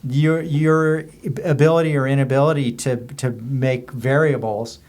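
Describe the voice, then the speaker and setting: A man's voice: mid-range voice, fairly even intonation, a little slow, darkish tone. An American man speaks as a podcast guest in an unscripted, conversational way. He unfolds anecdotes loosely, circling through examples and details as he explains. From US border region. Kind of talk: casual anecdotal storytelling.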